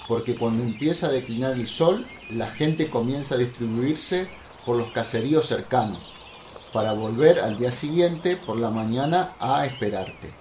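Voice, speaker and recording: An actor playing a humble, plain-spoken apostle, earnest and talkative, in a religious radio drama. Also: male voice, mid-range voice, moderate pace, darkish tone, natural voice. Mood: devoted, practical. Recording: roomy echo, faint noise, birdsong behind.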